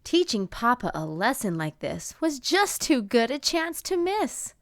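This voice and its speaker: Lively, highish woman as a storybook mama bear. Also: a little slow, no room sound, studio quality. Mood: playful. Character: brisk, no-nonsense, wise mother bear with playful streak. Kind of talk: children's storybook read-aloud.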